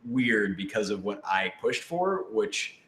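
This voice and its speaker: A man with a lowish voice chats in an echoey room. His manner is informal and playful, chipping in readily during a casual Q&A among a production team. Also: very animated, takes it slowly, a bit bright. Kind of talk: casual group Q&A chat. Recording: roomy, echoey sound.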